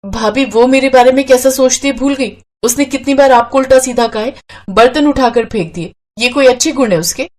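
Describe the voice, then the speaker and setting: A woman's voice: sits high, fairly quick, darkish tone, pitched above the performer's own voice. A woman voicing a quarrelsome, anxious young sister in a cartoon story, with a plaintive, emotional delivery. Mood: indignant.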